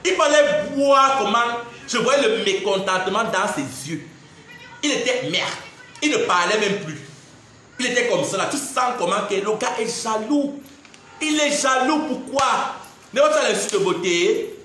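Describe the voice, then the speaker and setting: A young man with a Cameroonian French accent rants to his viewers, indignant and mocking, laughing between jabs. His voice sits high and sounds bright and sharp. The sound bounces around a very echoey room. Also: animated, unhurried, a little hiss or hum. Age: 20s. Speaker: social media personality. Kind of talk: ranting live video monologue.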